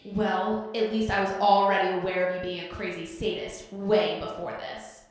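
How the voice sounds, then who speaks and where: A woman's voice: mid-range voice, fairly even intonation, moderate pace, close to an everyday voice. A woman voicing a defiant, hot-tempered young captive fighter in an anime fan dub, brash and scornful, in a very echoey space. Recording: very echoey, reverberant.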